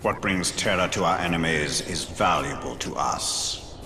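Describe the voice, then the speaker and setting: A man's voice: deep voice, measured pace, a little hiss or hum. A man playing a proud, vengeful wraith lord in a game, grave and formal. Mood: stern. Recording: loud music behind.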